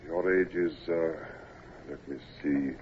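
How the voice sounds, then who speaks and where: An actor playing a gloomy, stern but not unkind old ship's captain, in an old radio drama. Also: male voice, a bass voice, steady tone, a little hiss or hum. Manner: slow, dry, morose. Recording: tinny old radio broadcast.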